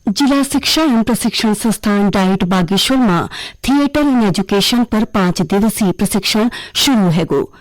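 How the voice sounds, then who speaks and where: A woman newsreader with a Kumaoni accent delivers a scripted regional bulletin. Her delivery is formal and measured, at a middling pitch. The sound is close and dry, as in a radio studio. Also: animated, no room sound, poor audio. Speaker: regional radio news reader.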